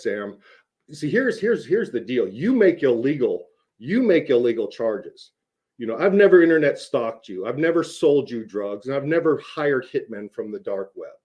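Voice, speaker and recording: Man with a mellow voice, blunt and insistent. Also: medium pitch. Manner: emphatic, insistent, repeating himself for stress; blunt, swears casually. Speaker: online video host. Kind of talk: unscripted rant to camera, addressing a rival.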